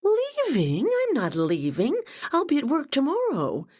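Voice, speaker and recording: A bubbly young woman, voiced by a woman reading a storybook, gushing in bright, high bursts that leap up and down. She sounds excited and a little scattered, pitched well above the reader's own low voice. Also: a little slow, full character voice.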